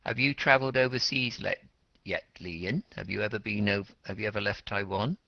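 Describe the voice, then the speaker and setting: A man at the front of a university language class, his low voice carrying through a muffled recording as he quizzes students and spells out meanings. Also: steady tone, a little fast, creaky, bright, forceful tone, no room sound. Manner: explains words simply, repeating and rephrasing. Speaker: teacher of an English class. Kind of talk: interactive classroom lecture, questioning students.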